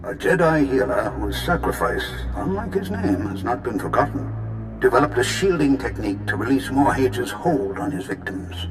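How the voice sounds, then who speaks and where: A man voicing a patient, wise Jedi master spirit in a video game, measured, formal and reassuring. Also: mid-range voice, very animated, echoey room, music in the background, natural voice, rough recording. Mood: grave storytelling.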